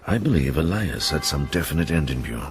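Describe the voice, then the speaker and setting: An actor playing a shrewd, devout medieval monk who investigates, with a British accent and a measured, pondering delivery in a radio drama. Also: male voice, rumbling low, big pitch swings, over music. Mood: calm, pondering. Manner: measured, hesitant pondering, gently authoritative.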